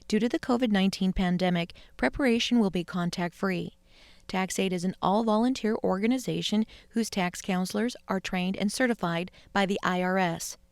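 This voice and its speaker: A woman's voice: mid-range voice, no echo. An American woman with a mid-range voice works as a sponsor announcer. She reads scripted radio copy in a dry, close recording with no room sound.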